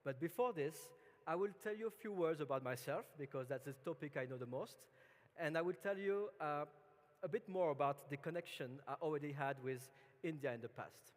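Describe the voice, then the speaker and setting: A man from France with a French accent, giving a relaxed, chatty conference talk with many fillers and restarts. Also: on the high side, fairly quick. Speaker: conference speaker. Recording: long hall reverb.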